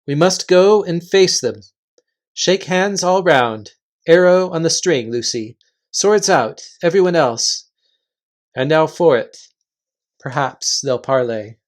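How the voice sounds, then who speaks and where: A brave young king from a storybook, voiced by a reader. He leads decisively in slow, formal phrases with an animated pitch. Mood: resolute. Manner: formal, measured commands.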